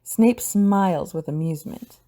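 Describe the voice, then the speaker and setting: A woman's voice: on the low side, unhurried, warm tone. A woman narrating a scripted audio drama as storyteller.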